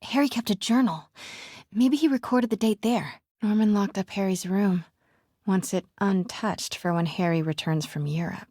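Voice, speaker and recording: Actress as a young woman sleuth, curious and determined, soft husky voice, musing to herself, close dry recording, video game dialogue. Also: mid-range voice, moderate pace, dry recording, natural voice. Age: young adult. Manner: natural, musing aloud, often dropping to hushed murmurs.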